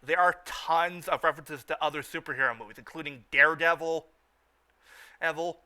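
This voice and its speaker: Very bright-voiced man, halting and informal. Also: a little high. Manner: halting, repeats and restarts phrases. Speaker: review show host. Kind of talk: informal fan commentary and recap.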